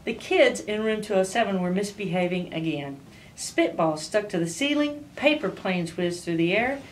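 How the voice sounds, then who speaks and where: Woman, 60s, picture-book read-aloud, mid pitch, recorded away from the mic with faint noise. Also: lively intonation, moderate pace, distant mic, poor audio. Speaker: school principal. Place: book-lined school office.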